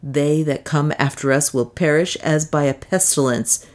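A woman's voice: very deep voice, full character voice. A woman reading a story, voicing a bitter, enraged young man who denounces his lover in archaic, venomous speech. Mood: fiendish scorn. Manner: venomous, drawn-out archaic denunciation. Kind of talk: dramatic audiobook storytelling.

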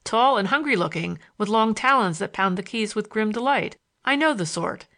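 A woman voicing a courteous young gentleman in his twenties, wry and gently condescending, in audiobook dialogue. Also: medium pitch, animated, normal speed. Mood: wry, curious. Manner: polite, dry, questioning.

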